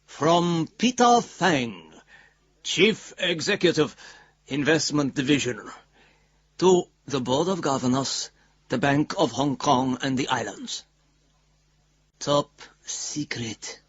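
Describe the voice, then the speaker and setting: An actor playing a confident, scheming bank chief executive, reading a formal, triumphant letter in a radio drama. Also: male voice, on the high side, expressive, slow pace, long pauses, breathy edge, no echo, muffled recording, full character voice. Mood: smug, secretive.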